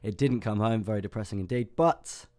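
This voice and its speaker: Man, young, hoarse lost voice, lowish pitch, chatty livestream host, lots of ums. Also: brisk, mellow. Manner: chatty, self-deprecating, many ums and restarts. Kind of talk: casual market analysis livestream.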